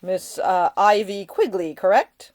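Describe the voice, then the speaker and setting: A woman voicing an earnest, blunt, smitten Victorian gentleman in a storybook reading. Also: medium pitch, measured pace, no echo, lightly acted. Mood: dry teasing. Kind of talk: acted audiobook dialogue.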